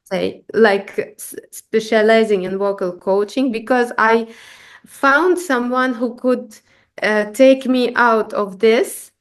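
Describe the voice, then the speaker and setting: Halting vocal coach woman, slow speech. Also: on the high side. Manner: halting, many restarts, explains with examples. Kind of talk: conversational interview answers.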